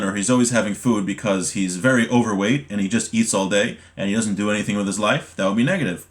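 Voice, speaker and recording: A man giving an informal religious lesson, reading from a text and explaining it, halting at times and repeating words for emphasis. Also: medium pitch. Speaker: religious teacher giving a class.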